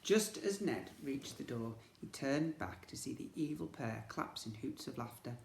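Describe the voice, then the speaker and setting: A man reading a children's story as a teacher would, with dramatic, expressive narration. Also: medium pitch, moderate pace, vocal fry, slight background noise. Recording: echoey room.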